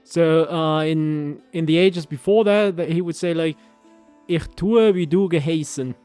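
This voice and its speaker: High, clear-voiced man chatting casually. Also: measured pace, darkish tone, no room sound, soft background music. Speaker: video host. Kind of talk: casual language commentary.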